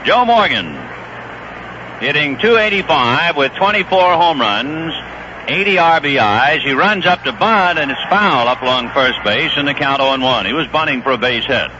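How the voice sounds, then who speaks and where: A middle-aged man calling a baseball game as play-by-play announcer in live radio commentary, brisk and conversational. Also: a little high, expressive. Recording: dull old radio broadcast with faint hiss.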